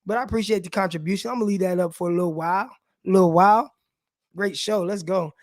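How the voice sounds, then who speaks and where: A man with a raspy voice hosting a casual live sports show, talking loosely and with slang straight to his viewers. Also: high-pitched, steady tone, quick pace, no room sound, studio quality. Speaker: sports podcast host.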